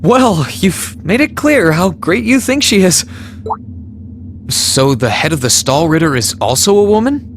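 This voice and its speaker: Earnest young hero, lively mid-range voice. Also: male voice, sits high, measured pace, a little hiss or hum, heavily acted. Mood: thoughtful. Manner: gentle, sincere, thoughtful. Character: earnest, kind young male military student hero. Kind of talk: dramatic video game voice acting.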